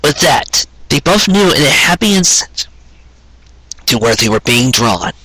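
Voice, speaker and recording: A man narrates a romantic tale with earnest drama. His voice is bright and forward with a husky grain, recorded dry and close. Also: medium pitch, very animated, measured pace, dry recording, poor audio. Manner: earnest, dramatic storytelling delivery. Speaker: storyteller reading fan fiction aloud. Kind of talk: scripted narration of a sentimental romance story.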